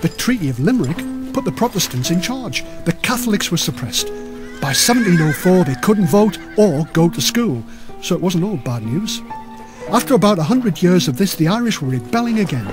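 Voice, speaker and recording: A man's voice: high-pitched, very animated, moderate pace, music in the background. A man reading a scripted comic history audiobook in a theatrical, wry storytelling manner. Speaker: audiobook reader.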